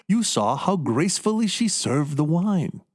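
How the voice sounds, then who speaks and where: A zealous medical researcher played by a male actor in a radio play. His voice sits a little high and swings widely in pitch as he proudly explains his inventions with theatrical emphasis. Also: sing-song, unhurried. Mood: proud, showy. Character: zealous, boastful male doctor pitching experimental prosthetics.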